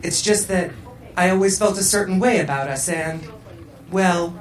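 A woman's voice: lowish voice, normal speed, echoey room, close to an everyday voice. A woman voicing a naive, lovelorn schoolgirl with a plaintive, bewildered way of talking, in a comic story reading. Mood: tender.

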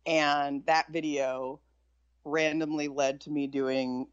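A woman with a low voice, a chef and author, talking candidly and without much pep as a guest in a casual interview. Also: flat delivery, slow pace, clean tone, dry recording. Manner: low-key, not chipper, candid. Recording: dull lo-fi sound.

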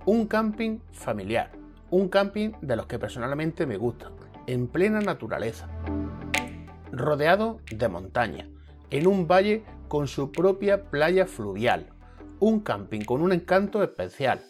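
A man's voice: on the high side, big pitch swings, a little slow, soft background music. A man from Andalusia hosting a podcast interview in a friendly, chatty way, often restarting his sentences. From Andalusia, Spain (Jaén). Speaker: podcast host.

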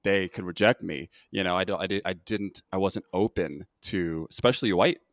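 Male host, very low voice, loose rambling talk, dry close sound. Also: normal speed, no room sound. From United States. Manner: rambling, many restarts and fillers. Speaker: podcast host. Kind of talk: casual interview chat.

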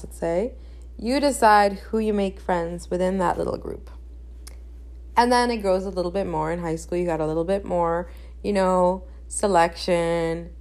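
A young woman hosting a podcast in a casual, candid chat, repeating phrases for emphasis, a native Spanish speaker. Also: medium pitch. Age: young adult. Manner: chatty, candid, emphatic with repetition. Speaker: podcast host.

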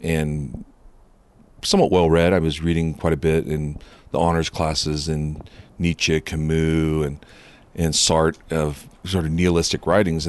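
A man in his fifties with an extremely low voice, telling his life story as a podcast guest. He talks reflectively and earnestly, with plenty of fillers. His first language was Japanese, and he grew up in Southern California. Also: a little mellow. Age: 50s. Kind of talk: relaxed storytelling interview.

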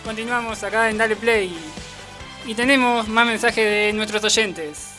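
Fairly high-pitched Argentine woman radio host. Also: fairly even intonation, unhurried. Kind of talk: informal live radio presenting. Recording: music playing behind the voice. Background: music.

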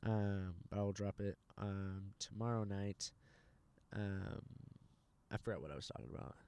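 Laid-back swearing young man, smooth voice. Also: fairly low pitch, moderate pace, warm tone. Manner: laid-back, swears a lot, says dude constantly. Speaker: podcast host and stand-up comic. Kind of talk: casual podcast chat. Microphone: handheld microphone.

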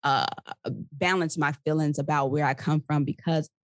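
A young woman interviewer with a low, deep voice, recorded dry and close. She talks in a reflective, earnest way, pausing to find her words as she asks a personal question. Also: fairly even intonation, no room sound. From Mississippi, USA. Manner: reflective, emotional, searching for words.

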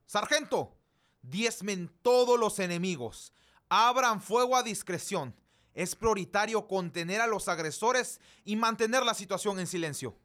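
A man's voice: sits high, expressive, measured pace, pushed and bright, heavily acted. A young man with a Mexican accent playing a ruthless military commander giving orders in an amateur radio drama. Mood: cold commanding. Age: young adult.

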